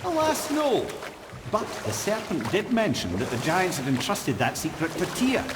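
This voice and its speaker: An actor playing a wry, learned old storyteller and guide in a video game, articulate and talkative, with a Scottish accent. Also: male voice, high voice, sing-song, normal speed, noisy background. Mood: helpful, wry. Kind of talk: video game dialogue acting.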